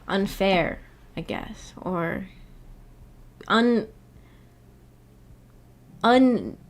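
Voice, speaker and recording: A woman's voice: medium pitch, extremely slow. A woman hosting a livestream in a casual, rambling way, hesitant as she thinks aloud. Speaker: livestream host.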